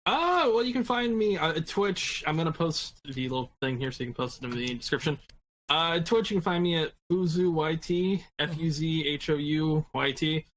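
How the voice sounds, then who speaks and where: Dude chatting on a podcast, voice a bit high, kinda halting, sweary. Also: male voice, lively intonation, a bit bright, a little hiss or hum, rough recording. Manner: halting, repetitive, casually profane. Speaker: podcast panelist. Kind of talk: casual chat.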